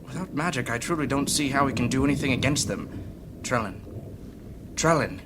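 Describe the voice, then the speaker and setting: A man's voice: medium pitch, lively intonation, a little fast, noisy background, close to an everyday voice. An actor playing an earnest, worried male mage in a fantasy audio drama.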